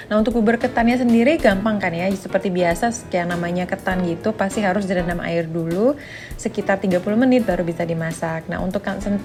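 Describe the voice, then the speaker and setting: A woman narrating a cooking video in a chatty way, full of small fillers, with music playing behind her. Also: mid-range voice. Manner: chatty, with many fillers like "nah" and "eh". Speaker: cooking vlogger. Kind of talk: voice-over narration of a recipe. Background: background music.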